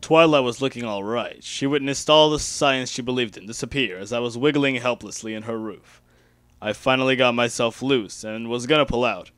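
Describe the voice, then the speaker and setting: A man's voice: medium pitch, fairly bright tone, clean tone, no room sound. Man narrating a story, dry close sound. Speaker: amateur fan-fiction reader. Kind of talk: first-person dramatic story narration.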